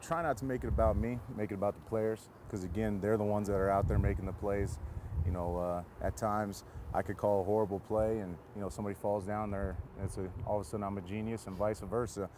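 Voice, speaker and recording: Lowish-voiced American football coach, man. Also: fairly even intonation, fairly quick, background noise. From San Diego, California. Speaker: football coach being interviewed. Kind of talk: casual interview answers. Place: outdoors beside a football field.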